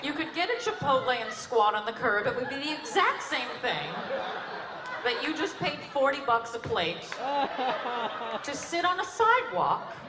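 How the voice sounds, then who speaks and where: Animated, high-pitched female stand-up. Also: moderate pace, crowd noise. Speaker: stand-up comedian. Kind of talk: observational stand-up. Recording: echoey room with clear noise.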